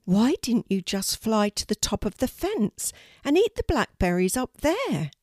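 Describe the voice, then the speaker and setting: A woman voicing a kind, practical young girl who speaks gently and reassuringly in a children's storybook. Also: on the high side, very animated, unhurried, dry recording, clean studio sound, voice pushed up. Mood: gentle.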